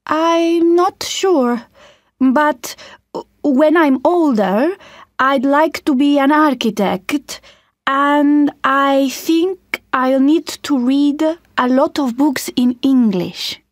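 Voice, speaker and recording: A young woman from Italy with a high voice answers exam questions as a student candidate. She speaks very slowly and carefully, in a dry, close recording. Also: dry recording.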